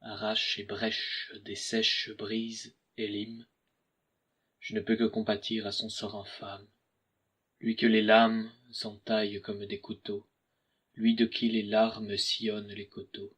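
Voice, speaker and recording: A man reciting scripted verse in a measured, declamatory way, pausing between phrases. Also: lowish voice, completely flat delivery, fairly bright tone, slightly rough.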